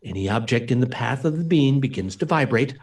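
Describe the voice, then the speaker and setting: A man's voice: mid-range voice, lively intonation, fairly quick, close to an everyday voice. A man acting an earnest, principled scientist-inventor in an old-time radio play, speaking in a formal, emphatic, theatrical way. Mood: proud explaining.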